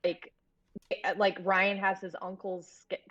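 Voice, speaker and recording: A woman's voice: medium pitch, level tone, slow pace, a bit bright, lo-fi sound. A woman talking casually and informally as a guest in a conversation over a remote call. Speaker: podcast guest, horror producer. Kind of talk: casual chat.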